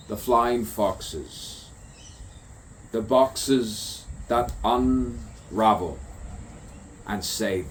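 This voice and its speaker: A man's voice: fairly low pitch, slight background noise. A man delivering a stream-of-consciousness spoken poem, musing and repeating rhyming phrases. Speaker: poet.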